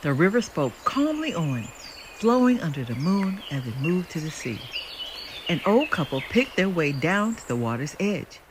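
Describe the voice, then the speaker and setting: An older woman reading a children's book aloud in an expressive, deliberate, lyrical storytelling manner. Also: on the low side, background noise. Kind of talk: expressive scripted storybook narration.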